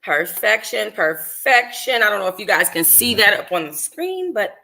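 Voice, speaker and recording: Book club host: woman, bright forceful voice, informal and sassy, reading like a casual livestream. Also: medium pitch, big pitch swings, moderate pace, vocal fry.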